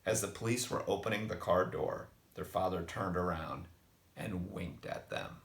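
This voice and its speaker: A man reading a scripted spooky story for children in an expressive narrating style, with a gravelly voice. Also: deep voice, moderate pace. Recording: roomy, echoing voice.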